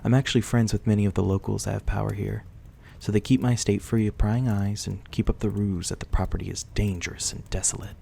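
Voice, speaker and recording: Man playing a deep, gentle vampire gentleman. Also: fairly even intonation, quick pace, gravelly, a little hiss or hum. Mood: candid, playful. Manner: soft, reassuring, politely old-fashioned. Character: gentle, courteous reclusive young-looking male vampire host, 174 years old. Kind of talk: intimate ASMR roleplay acting.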